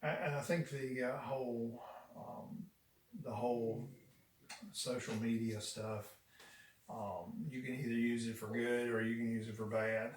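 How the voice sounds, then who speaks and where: A middle-aged man co-hosting a podcast in casual chat, speaking in a measured, reflective way and looking back on older technology. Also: medium pitch, steady tone. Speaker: podcast co-host. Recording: echoey room, mic at a distance, faint noise.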